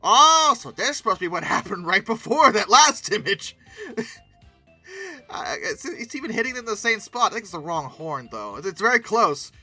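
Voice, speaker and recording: A host giving casual, humorous reaction commentary in an animated, theatrically incredulous way, prone to exclaiming. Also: mid-range voice, normal speed, soft background music. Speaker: video host commenting on old dinosaur art.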